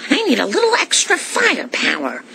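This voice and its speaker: A woman voicing an earnest, fretful cartoon housewife and mother with a raspy voice, in a comedy game. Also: a little high, wildly swooping pitch, a little fast, heavy background noise, heavily acted. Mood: determined. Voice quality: raspy, gravelly. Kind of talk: animated comedy game voice acting.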